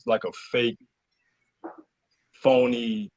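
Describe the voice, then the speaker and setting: A man talking casually as an interview guest, halting and searching for words, often interrupting himself. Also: mid-range voice, fairly even intonation, darkish tone, no echo, dull audio. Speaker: interview guest, cast member. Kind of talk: casual conversational interview talk.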